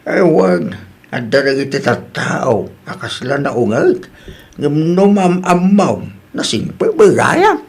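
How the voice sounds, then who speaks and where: A man's voice: fairly high pitch, wildly swooping pitch, slow pace, slightly rough, exaggerated voice. A Filipino man with an Ilocano accent playing a sympathetic older father who asks questions, in a comedy-drama. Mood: concerned.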